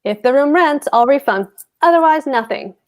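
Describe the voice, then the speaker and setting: A woman's voice: sits high, animated, moderate pace. A woman playing a brusque, stubborn husband and landlord in a comic play table read, speaking in blunt, clipped phrases. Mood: defensive, blunt.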